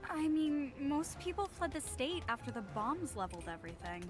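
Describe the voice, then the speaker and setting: A chipper robot driver from a game, kinda nervous n prim, bouncy high voice, music behind. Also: female voice, big pitch swings, normal speed. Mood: matter-of-fact. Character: perky, anxious female robot driver, drily sarcastic. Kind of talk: video game cutscene voice acting.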